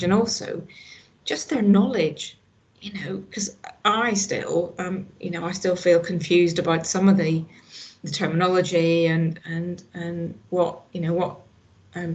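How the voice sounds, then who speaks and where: A middle-aged woman from Northern Ireland with a Northern Irish accent, talking earnestly in a casual conversation, with lots of restarts. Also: on the low side. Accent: Northern Irish, slight Scottish. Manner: earnest, frequent "you know" and restarts. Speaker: hospital staff guest.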